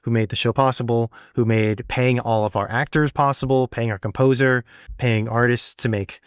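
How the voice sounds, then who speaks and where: A man's voice: mid-range voice, steady tone, normal speed, clear, resonant tone, dry recording, clean studio sound. A man co-hosting a podcast, talking casually and encouragingly, with rambling, self-deprecating asides. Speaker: podcast co-host and sound designer. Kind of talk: casual conversational podcast talk.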